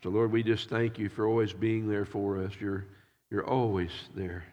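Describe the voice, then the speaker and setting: Nasal-sounding pastor in a reverberant hall. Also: male voice, lowish voice, fairly even intonation, warm tone, muffled recording. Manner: halting, repeats words. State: nasal from allergies. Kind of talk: prayer and sermon. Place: church.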